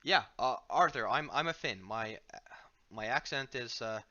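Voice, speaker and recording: A man from Finland with a Finnish accent, hosting a casual game livestream on a headset mic, relaxed and self-deprecating as he plays and answers viewer questions. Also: mid-range voice, measured pace, lo-fi sound. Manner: relaxed, self-deprecating, frequent filler words and restarts. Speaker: livestream host from the game company. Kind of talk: casual livestream chat while playing and reading viewer questions.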